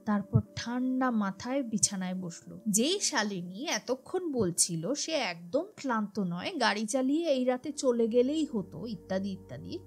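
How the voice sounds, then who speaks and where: A woman narrating a scripted horror story in a dramatic storytelling style. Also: a little high. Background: music behind the voice.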